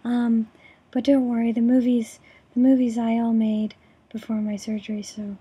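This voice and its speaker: A young woman with a hoarse, raspy voice after throat surgery, presenting her course project over a screen recording with many ums and restarts. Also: a little high, level tone, moderate pace, dark tone. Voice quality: raspy, breathy.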